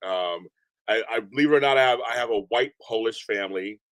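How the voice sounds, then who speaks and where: A deep-voiced man chatting as a podcast guest, animated and rambling, often repeating himself. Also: a little fast, no room sound. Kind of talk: casual interview chat. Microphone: remote call from home.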